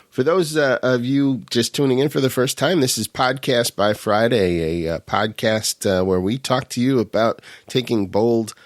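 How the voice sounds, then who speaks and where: A man's voice: on the low side, no echo, clean studio sound. A man from upstate New York co-hosting a podcast, talking in a friendly way with lots of filler sounds. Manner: friendly, with many filler uhs. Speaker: podcast co-host. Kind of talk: casual podcast chat. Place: home in upstate New York, remote recording.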